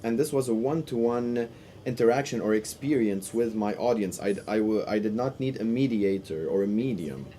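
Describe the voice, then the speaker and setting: A man interpreting a panel discussion live, in halting phrases with restarts and sudden bursts of speed. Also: on the low side, warm tone, a little hiss or hum. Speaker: simultaneous interpreter.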